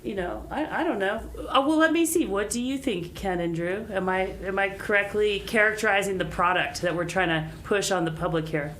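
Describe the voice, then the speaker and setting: A woman's voice: mid-range voice, brisk, hardly any pauses, fairly bright tone, a little hiss or hum. A woman musician chatting casually as an interview guest, with a wry, self-deprecating manner. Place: radio studio.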